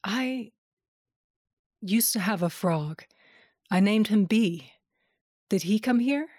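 Tender wife, unhurried, hesitant, earnest, audio drama, dry sound. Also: female voice, medium pitch, animated, darkish tone, no room sound. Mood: curious. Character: tender, hesitant adult wife on a magical quest. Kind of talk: audio fairy-tale drama acting.

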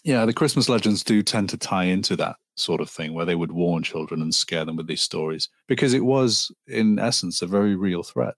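A man in his forties from Liverpool with a Liverpool accent, a guest telling folklore stories in a relaxed, rambling way with ums and restarts. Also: low voice, brisk, few pauses, no echo, studio quality. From Liverpool, England. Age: 40s. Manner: storytelling, with frequent ums and restarts. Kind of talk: conversational interview.

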